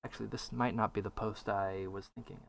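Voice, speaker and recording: A man's voice: lowish voice, fairly even intonation, fast, creaky, a crowd in the background. A man co-hosting a casual podcast discussion, conversational, reading out passages and asking his co-host's view. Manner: conversational, reads quotes aloud, asks for opinions. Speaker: podcast co-host.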